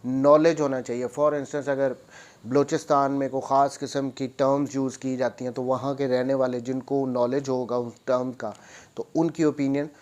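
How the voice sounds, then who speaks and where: A man's voice: medium pitch, little pitch movement, normal speed, a little mellow. A man with a Pakistani accent lecturing on law, switching between English and Urdu as he reads out and explains, repeating phrases. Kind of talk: lecture, reading statutes aloud and explaining them.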